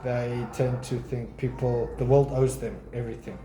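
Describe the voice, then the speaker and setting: A man's voice: mid-range voice, flat delivery, moderate pace, a crowd in the background. A young man from South Africa chatting casually as a customer, hesitant with frequent ums and restarts. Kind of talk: casual chat. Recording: echoey room, faint music.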